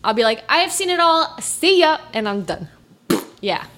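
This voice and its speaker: A woman co-hosting a casual podcast with a fairly high voice. She is animated, laughs often and swears freely as she chats. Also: normal speed, slight background noise. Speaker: podcast co-host. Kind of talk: casual chat.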